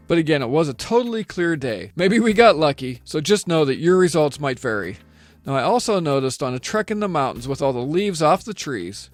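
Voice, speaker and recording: A middle-aged American man narrating a scripted product review in a methodical, explanatory way. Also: a little high. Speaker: gear reviewer.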